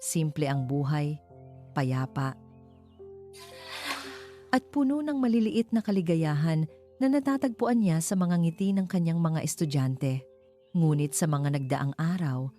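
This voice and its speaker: Low, mellow Filipino woman narrating over music. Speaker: story narrator. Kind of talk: scripted dramatic storytelling narration. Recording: music behind the voice. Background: clear background music.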